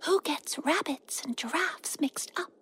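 A woman playing a tiny, curious, friendly spider in a children's audio drama, with a whispery, breathy, wide-eyed delivery. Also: high voice, fairly bright tone, slight background noise. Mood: curious, amazed. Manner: slow, wide-eyed, inquisitive.

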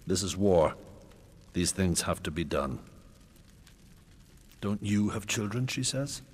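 A man's voice: low voice, animated, slow pace, husky, heavily acted. An actor playing a brutal, arrogant middle-aged warrior king, narrating his coarse, boastful thoughts in the first person for a radio drama. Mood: callous. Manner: coarse, boastful, cold inner monologue.

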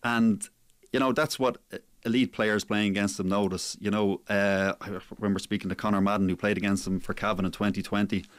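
A man's voice: fairly low pitch, level tone, brisk, no room sound. A man, a sports journalist and author, answering interview questions thoughtfully with plenty of hedging fillers. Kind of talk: radio interview guest.

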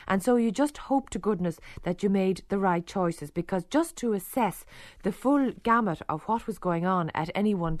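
A woman narrating a radio documentary report in a measured, articulate way. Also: mid-range voice, darkish tone, no echo, studio quality. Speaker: broadcaster and reporter.

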